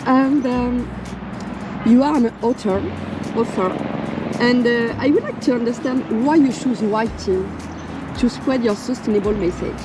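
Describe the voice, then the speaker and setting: Woman host doing an interview, english not her first language, bit halting, highish voice, music behind. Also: poor audio. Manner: halting, non-idiomatic phrasing. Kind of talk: interview with prepared introduction.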